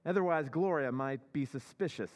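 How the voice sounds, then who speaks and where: An actor playing a smug, self-assured scheming husband in an old-time radio drama recreation. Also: male voice, on the high side, normal speed, big-room sound, close to an everyday voice.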